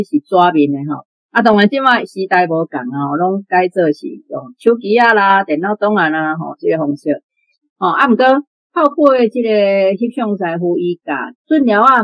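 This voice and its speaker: A woman's voice: lowish voice, no echo, dull audio. A woman with a Taiwanese accent giving unscripted, explanatory commentary on literature as part of a radio programme. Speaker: radio programme guest or presenter discussing literature.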